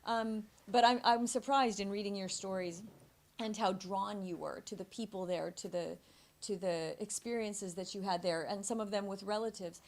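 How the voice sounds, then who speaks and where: Gravelly-voiced woman moderator. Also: mid-range voice, fairly even intonation, normal speed, hardly any pauses. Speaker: interviewer moderator. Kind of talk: conversational book-launch interview. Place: press club event room.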